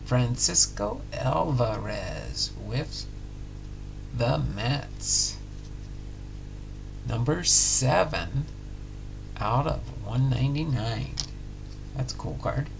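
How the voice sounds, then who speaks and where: A middle-aged man calmly calling out each trading card as he opens packs at home. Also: medium pitch, gravelly, background noise. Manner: unhurried, announcing each card plainly. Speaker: card-breaking channel host. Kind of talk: casual pack-opening commentary. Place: home room with card displays.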